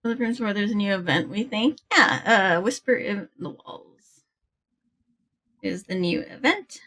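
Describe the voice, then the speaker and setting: A woman's voice: mid-range voice, lively intonation, unhurried, fairly bright tone. A woman livestreaming a game, chatting casually and playfully with her viewers as she plays. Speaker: live streamer. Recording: faint game music behind.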